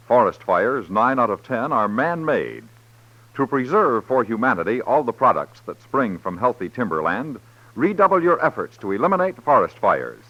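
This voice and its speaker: Animated old-time male radio announcer. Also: mid-range voice. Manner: formal, emphatic. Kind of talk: scripted 1950s radio public-service announcement. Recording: dull old radio broadcast recording.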